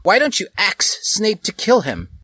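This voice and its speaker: A man's voice: high-pitched, huge pitch swings, unhurried, sharp tone, slightly rough, no room sound, clean studio sound, pitched above the performer's own voice, full character voice. A man voicing a crude, dim-witted adult male werewolf in a mocking comedic story reading.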